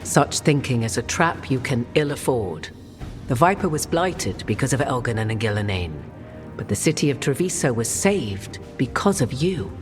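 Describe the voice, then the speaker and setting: A woman's voice: a bass voice, big pitch swings, moderate pace, over music. An actress playing a haughty, enigmatic witch in a video game, with formal, arch and theatrical diction. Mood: grave. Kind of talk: video game cutscene acting.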